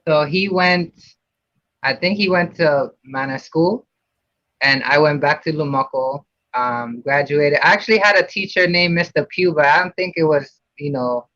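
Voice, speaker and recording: Man from Puerto Rico, bright dull-sounding voice. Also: on the high side, moderate pace, no echo. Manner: rambling, many fillers and restarts. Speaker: guest. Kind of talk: casual interview.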